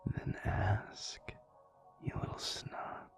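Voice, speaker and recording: A man playing a tired, tender alpha werewolf boyfriend in an intimate roleplay audio, murmuring drowsily in a breathy, gravelly near-whisper. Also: faint music behind. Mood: teasing, reluctant. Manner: slow, drowsy, murmuring with long pauses. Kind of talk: intimate roleplay audio acting, addressed to listener.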